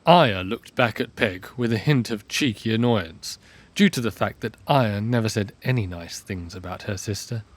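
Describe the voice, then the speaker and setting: A man narrating a scripted fantasy audio drama in a measured storytelling manner. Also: fairly low pitch.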